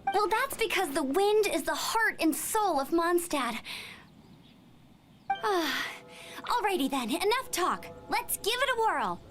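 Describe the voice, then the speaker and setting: A woman's voice: very high-pitched, sing-song, slow, heavily acted. A woman playing an eager, earnest young female knight in a video game, with music behind. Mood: eager, cheerful. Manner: bright, earnest, enthusiastic. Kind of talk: animated game voice acting.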